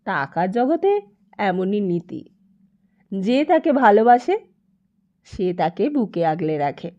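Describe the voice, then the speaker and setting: A woman narrating a literary story as an audiobook, in an expressive, emphatic, dramatic way. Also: medium pitch, slow, muffled recording.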